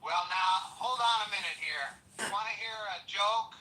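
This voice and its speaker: A man's voice: sits high, normal speed, sharp tone, rough recording. A man voicing a folksy cowboy chatbot who drawls, calls everyone partner and keeps asking baffled questions.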